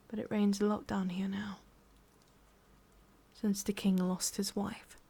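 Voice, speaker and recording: A woman acts out a sadistic demon-world boss in an intimate ASMR roleplay. Her English-accented voice is breathy and slips often into whispers. She taunts and condescends, wrapping menace in mock tenderness. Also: medium pitch. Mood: condescending, menacing. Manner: slow, taunting, condescending, with pauses and sighs.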